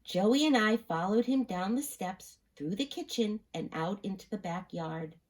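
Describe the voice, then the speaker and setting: A woman's voice: medium pitch, unhurried. A woman reading a children's story aloud, expressively, sometimes turning to address her listeners.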